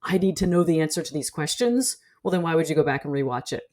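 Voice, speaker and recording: Woman, low voice, articulate and explanatory, for conversational analytical commentary. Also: lively intonation, quick pace, a little mellow. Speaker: guest scholar and commentator.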